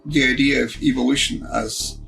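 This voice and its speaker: A man giving thoughtful, unscripted interview commentary, pausing as he forms each thought. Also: mid-range voice, steady tone, vocal fry, music in the background, low-quality recording. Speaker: guest commentator.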